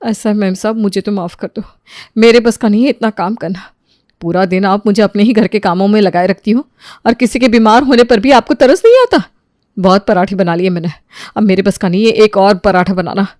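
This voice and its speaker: A woman's voice: mid-range voice, moderate pace, a little mellow, no room sound, studio quality, lightly acted. A woman playing a put-upon, dutiful housemaid who pleads and stands up for herself in a dramatised moral story. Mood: fed up.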